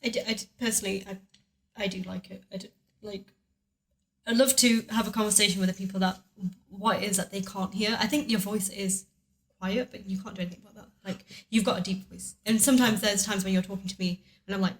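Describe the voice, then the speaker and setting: A woman's voice: mid-range voice, fairly even intonation, quick pace. A woman chatting casually in a commentary, giving frank personal opinions with lots of "like" and "personally". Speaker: co-host and partner in commentary.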